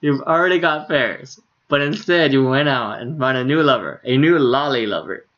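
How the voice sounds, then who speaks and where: A male streamer with a medium-pitched voice and slightly dull audio. He reads game dialogue aloud informally and drops amused asides between lines. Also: normal speed, fairly bright tone.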